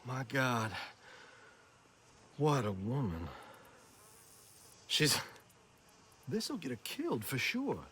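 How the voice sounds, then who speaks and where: An actor playing an anxious, lovestruck young gentleman in a video game, fretful and well-spoken. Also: medium pitch, wildly swooping pitch, very slow, long pauses, slight background noise, heavily acted. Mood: fretful pleading. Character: anxious, lovestruck young male heir of genteel family. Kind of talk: naturalistic video game acting.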